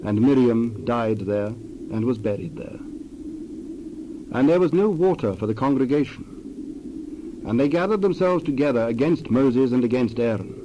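Male narrator, mellow dark tone, steady delivery, dull lo-fi recording. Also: mid-range voice, measured pace, pauses often, a little hiss or hum. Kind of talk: dramatized scripture narration.

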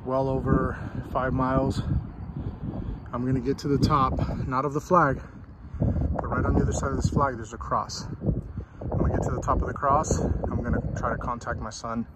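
A man's voice: medium pitch, moderate pace, heavy background noise. A man in his thirties vlogging outdoors on a mountaintop at night, talking casually through a headset mic with frequent ums. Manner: relaxed, plain-spoken, frequent ums. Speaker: vlogger testing radios. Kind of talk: casual vlog talk to camera.

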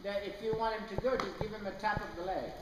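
A high-voiced man instructing a rider, heard from across a big indoor riding arena. His voice rings in the long reverb of the hall, with clear noise underneath. He explains patiently and keeps checking the rider is following. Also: fairly even intonation, fast talker, recorded from a distance. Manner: patient, explanatory, frequent "okay?" check-ins. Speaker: riding clinician. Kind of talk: coaching a rider. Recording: roomy echoey arena from afar with noise.

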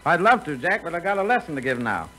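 High-voiced affable golfer. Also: male voice, sing-song, fast, bright, forceful tone, full character voice. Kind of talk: 1940s radio comedy acting. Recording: very noisy old radio broadcast.

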